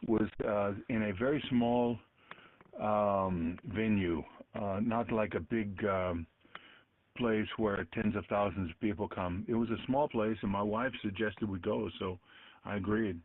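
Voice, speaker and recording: An older man, in his sixties or beyond, chatting casually over two-way radio in a halting, reflective way. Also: lowish voice, fairly even intonation. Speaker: amateur radio operator in an on-air conversation. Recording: narrow phone-like radio audio, clear noise.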